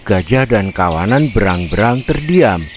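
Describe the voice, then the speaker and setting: A man's voice: on the low side, expressive, a little slow, background noise. A man narrating a scripted animal fable for children. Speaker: children's storyteller.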